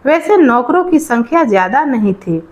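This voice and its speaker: A woman's voice: sits high, very animated, moderate pace. A woman reading a scripted moral folk tale aloud as a storyteller.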